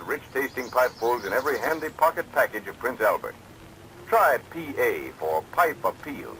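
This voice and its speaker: Bright-voiced American man pitching on old radio. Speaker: radio commercial announcer. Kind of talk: 1940s radio advertising read. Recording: noisy old 1940s radio recording.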